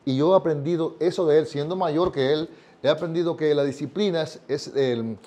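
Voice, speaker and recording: A man's voice: fairly high pitch, fairly quick, mellow. A man in his sixties, a singer, talking earnestly in an interview and often repeating words as he searches for them. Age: 60s. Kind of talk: casual interview chat. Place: bright living room set, indoors.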